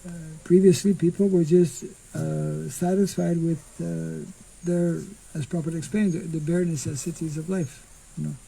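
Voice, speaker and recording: An older monk giving an informal scripture class outdoors into a stand mic, speaking slowly and haltingly and clearing his throat often. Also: a little high, fairly even intonation, mellow, low-quality recording. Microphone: stand mic in front.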